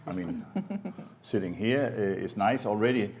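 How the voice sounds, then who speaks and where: Deep-voiced Danish man, stammering restarts. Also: measured pace, a little mellow, a little hiss or hum. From Denmark. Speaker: energy academy director. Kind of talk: panel self-introduction.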